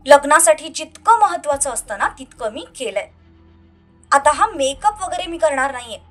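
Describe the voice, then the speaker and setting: A woman's voice: high voice, very animated, moderate pace, faint music behind. A woman voicing a defiant, exasperated young bride held against her will, curt and irritated, in an audio story. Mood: firm refusal.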